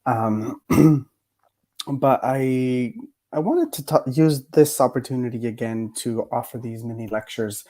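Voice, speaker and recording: Male lecturer, mid pitch, mellow and slightly worn voice, hesitant reflective delivery. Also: measured pace. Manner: hesitant, many fillers and restarts. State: recovering from a cough, voice a bit worn. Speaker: poet laureate giving a lecture. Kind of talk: reflective mini lecture on poetry.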